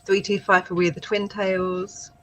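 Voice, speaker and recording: A woman's voice: mid-range voice, little pitch movement, a little slow, a bit bright, a little hiss or hum. A British woman co-hosting a casual writing livestream, chatting and reading out viewer comments encouragingly. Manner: chatty, encouraging, thinks aloud. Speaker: livestream co-host.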